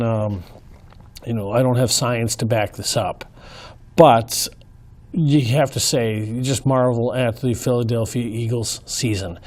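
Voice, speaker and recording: A hoarse man talks in an earnest, folksy manner, stopping and restarting often. His voice sits at an ordinary middle pitch for a man. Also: darkish tone. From Minnesota, US. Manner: earnest, folksy, halting with fillers. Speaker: host. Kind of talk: casual commentary.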